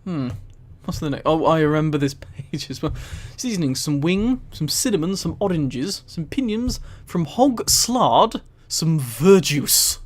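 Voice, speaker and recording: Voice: mid-range voice, very animated, measured pace. Amused, incredulous live streamer reading aloud. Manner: amused, often laughing while reading; incredulous, mildly sweary asides. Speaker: streamer and host. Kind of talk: live stream reading and commentary with casual chat.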